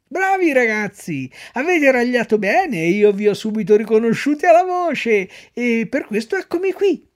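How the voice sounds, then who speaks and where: A man's voice: very high voice, sing-song, normal speed, few pauses, voice pushed up. A man voicing a sweet-faced little man who giggles and talks in a honeyed way. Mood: sly glee.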